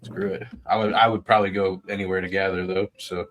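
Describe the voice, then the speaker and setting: A man chatting casually as a podcast panelist, halting and conversational, often rephrasing as he goes. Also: deep voice, flat delivery, fairly bright tone, a little hiss or hum. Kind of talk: casual chat.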